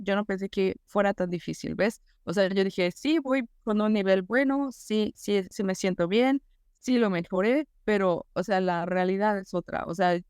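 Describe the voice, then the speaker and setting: Chatty Mexican woman, smooth clear voice. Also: mid-range voice, darkish tone, no echo, clean studio sound. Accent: Mexican Spanish. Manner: chatty, many fillers like "o sea" and "este", quotes her own thoughts. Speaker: teacher and master's student, guest. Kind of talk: conversational interview answers.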